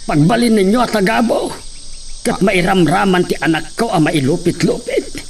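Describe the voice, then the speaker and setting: A man's voice: high-pitched, big pitch swings, normal speed, vocal fry, exaggerated voice. An actor playing a poor father who is wary and protective of his daughter, with faint insect noise. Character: poor worried father, older farmhand.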